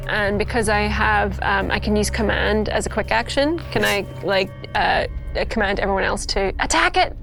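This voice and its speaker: Woman, tabletop player, gravelly vocal fry, casual banter, clear music underneath. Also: medium pitch, normal speed, hardly any pauses.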